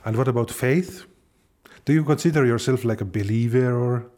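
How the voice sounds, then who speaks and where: A man hosting the episode with a mellow, warm tone. He narrates and asks his interview questions in a measured, gentle way. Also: medium pitch. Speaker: podcast host and interviewer.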